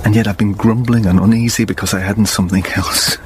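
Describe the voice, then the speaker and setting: Male actor as regretful gentleman father, radio play. Low voice, halting and earnest, heavy background noise. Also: fairly even intonation, natural voice. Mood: regretful, resigned. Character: remorseful gentleman father, married, resigned and earnest. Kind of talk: radio drama acting. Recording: noisy radio drama recording.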